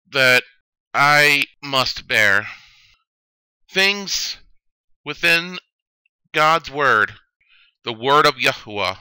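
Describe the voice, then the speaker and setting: A man's voice: mid-range voice, sharp tone, clean tone, no echo, rough recording. Earnest man in his thirties testifying. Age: 30s. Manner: solemn, drawn-out preaching cadence with sudden stresses. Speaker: video testimony speaker. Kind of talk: earnest religious testimony monologue.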